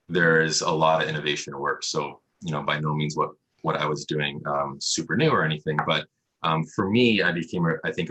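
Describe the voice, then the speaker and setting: Male interview guest, doctor: extremely low, slightly raspy voice, explanatory and conversational, on a video call. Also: bright, forceful tone, poor audio. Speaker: emergency radiologist, interview guest. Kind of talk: casual interview answers. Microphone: video call microphone.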